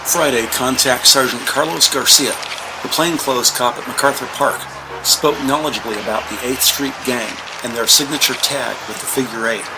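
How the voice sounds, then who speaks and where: A man's voice: mid-range voice, normal speed, noisy background. A man giving scripted, present-tense narration for a crime drama.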